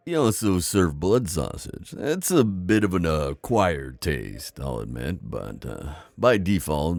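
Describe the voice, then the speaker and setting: A man playing a kindly, wise vampire innkeeper in an intimate ASMR roleplay, deep-voiced, with a folksy, chatty and slightly hesitant manner. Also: gravelly, darkish tone, no echo, exaggerated voice. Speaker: audio roleplay performer.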